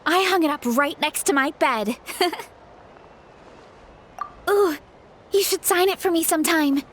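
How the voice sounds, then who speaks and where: A woman's voice: extremely high pitch, animated, a little slow, fairly bright tone, a little hiss or hum, exaggerated voice. A woman voicing a sweet, modest young athlete in a video game, earnest, polite and a little hesitant. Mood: eager. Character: sweet, modest, self-doubting young female athlete in training. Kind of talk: English video game voice acting.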